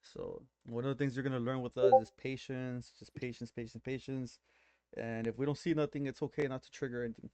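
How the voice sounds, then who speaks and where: A man from Nicaragua with a Miami accent, hosting a trading stream in relaxed, rambling casual chat. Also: mid-range voice, fairly quick, clean tone, no echo. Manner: relaxed, rambling, mixes in slang.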